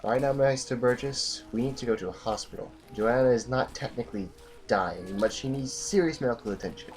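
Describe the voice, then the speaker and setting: An actor playing a stern, disillusioned military general in an audio drama, with a blunt, brooding, commanding manner. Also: male voice, medium pitch, brisk, darkish tone, natural voice. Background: music.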